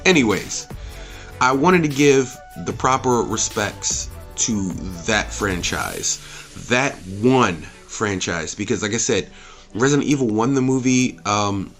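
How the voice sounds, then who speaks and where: A man's voice: mid-range voice, fairly bright tone. A man giving an opinionated, off-the-cuff review as a host, speaking emphatically, repeating himself and swearing freely. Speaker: movie reviewer and channel host.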